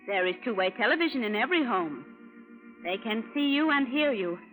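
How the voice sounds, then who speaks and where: An actress playing a polite, gushing young wife from the future, prim and formal in 1940s radio style. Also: female voice, a little high, sing-song, a little fast, fairly bright tone, soft background music. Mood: prim, pleasant. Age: 20s. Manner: prim, formal, precise, few contractions. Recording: narrow, muffled vintage radio recording.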